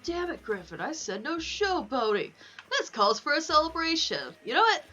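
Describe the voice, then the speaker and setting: Bright-voiced woman streaming a game. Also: sits high, big pitch swings, moderate pace, slight background noise. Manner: sweary, playful, talking to game characters. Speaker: livestreamer playing a video game. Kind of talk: casual gaming livestream chatter.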